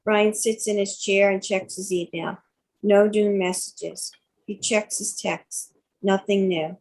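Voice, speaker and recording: A woman's voice: medium pitch, fairly even intonation, slow pace, a little mellow, rough recording. A woman reading stage directions as scripted narration for a table read.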